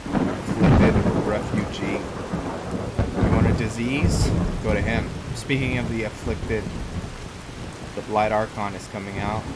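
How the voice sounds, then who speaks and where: A man's voice: on the low side, very noisy. A man giving casual, improvised video game commentary, rambling in a laid-back way and chatting to the game's characters. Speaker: gaming YouTuber, host of a roleplay let's play. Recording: game music and rain behind voice. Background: loud game music, rain and thunder.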